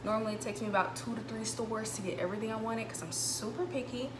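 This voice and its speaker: A woman's voice: fairly high pitch, steady tone, fast talker. A young woman chatting informally to camera in a casual vlog, in her small home kitchen. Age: young adult. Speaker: college student vlogger. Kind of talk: casual vlog chat, showing groceries. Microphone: camera a couple of metres away. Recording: echoey room with background noise.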